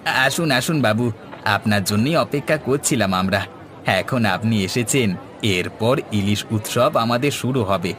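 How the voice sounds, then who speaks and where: A man's voice: on the low side, lively intonation, unhurried, a bit bright, a little hiss or hum. A man voicing an earnest, brave village man in a cartoon, speaking in a declamatory, respectful way. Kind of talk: Bengali cartoon voice acting. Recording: music playing behind the voice.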